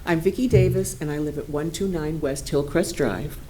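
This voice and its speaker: An older woman speaks at a council podium with a dark, mellow voice. She is earnest and concerned, with a naturally strong voice she tries to keep down. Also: sits low, moderate pace, slight background noise. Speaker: resident giving public comment. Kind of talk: public comment at a meeting. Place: city council chamber podium.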